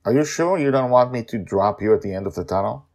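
A man's voice: mid-range voice, expressive, quick pace, darkish tone, voice pushed up, natural voice. A man voicing an eager, lifted-voice boy adventurer. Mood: upbeat, eager. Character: eager, helpful, upbeat young boy adventurer. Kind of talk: storybook character voice in audio fiction.

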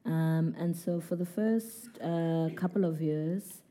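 A South African woman in her twenties, a guest in an interview, who answers in a relaxed, hesitant way with many fillers. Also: sits low, takes it slowly. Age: 20s.

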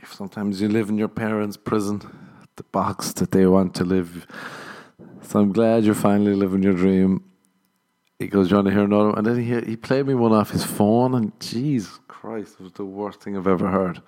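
A low-pitched man talks loosely on his podcast. He rambles from story to story, swears freely and breaks into laughter at his own lines. His delivery is casual and unscripted. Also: steady tone, moderate pace, mellow. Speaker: comedian and podcast host. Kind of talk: casual comedic monologue. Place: living in Los Angeles.

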